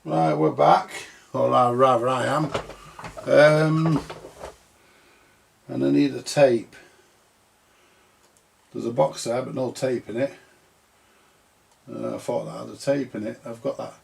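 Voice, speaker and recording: Very gravelly man thinking aloud at work. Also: mid-range voice, steady tone, slow, long pauses. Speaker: vintage audio repairer presenting a workbench video. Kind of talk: casual thinking-aloud repair commentary. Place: indoor repair workbench. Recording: clear background noise, clicks.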